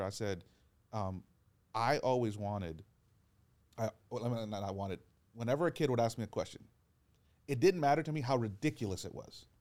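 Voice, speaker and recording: A man, a pastor, talking earnestly in a relaxed podcast chat, telling stories and acting out what people said. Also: lowish voice. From Georgia, US. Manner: earnest, encouraging storyteller who acts out quotes. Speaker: pastor and guest. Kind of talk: casual podcast conversation.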